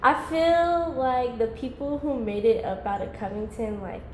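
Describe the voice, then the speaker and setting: Young woman, relaxed rambling chat. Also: fairly high pitch, a little slow, roomy sound, slight background noise. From Georgia, US. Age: 20s. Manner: laid-back, rambling, lots of "like". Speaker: podcast guest. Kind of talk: casual podcast chat.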